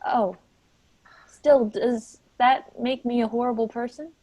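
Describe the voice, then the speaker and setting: A woman's voice: on the high side, fairly even intonation, slow, mellow, no room sound, poor audio. A woman playing a sensitive, guilt-ridden and defensive office worker in a staged play reading.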